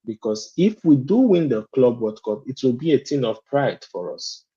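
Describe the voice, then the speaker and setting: A man sharing his opinion as a guest fan in a call-in discussion, speaking deliberately and often checking in with "you understand?". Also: mid-range voice, sing-song, mellow, breathy edge, lo-fi sound.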